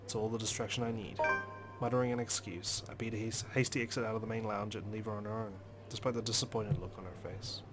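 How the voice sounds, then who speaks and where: A man's voice: lowish voice, little pitch movement, quick pace, over loud music. A man hosting a let's play, reading a visual novel's story aloud as dramatic, brooding narration.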